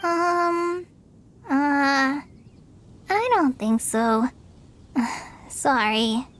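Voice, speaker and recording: A woman's voice: high voice, very slow, a bit bright, exaggerated voice. An actress voicing a timid, self-doubting teenage girl in a video game, sincere and unsure of herself. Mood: hesitant, friendly.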